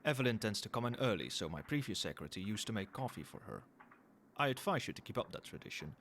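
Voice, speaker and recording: Cold, demanding male CEO, played by a man, ASMR roleplay: low register, measured businesslike delivery, audible background noise. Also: sing-song, fairly bright tone. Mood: composed, businesslike. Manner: formal, measured, businesslike, faintly suggestive. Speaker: voice actor in an audio roleplay. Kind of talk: ASMR roleplay, one-sided dialogue to the listener.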